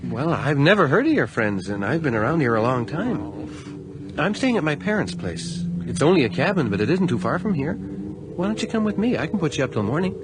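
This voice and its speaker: An actor playing a secretive backwoods man in a radio horror drama, terse, evasive and quietly insistent. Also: a little high, big pitch swings, a little fast. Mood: coaxing. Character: eerily calm, secretive backwoods country man. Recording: noisy archived radio drama.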